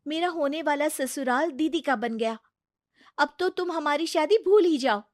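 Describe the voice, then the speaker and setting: A woman's voice: high-pitched, moderate pace, a little mellow, no echo, studio quality, pitched above the performer's own voice, natural voice. A woman voicing an earnest, anxious young woman in love in a family story, speaking plaintively. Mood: upset. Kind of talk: Hindi family moral story dramatization.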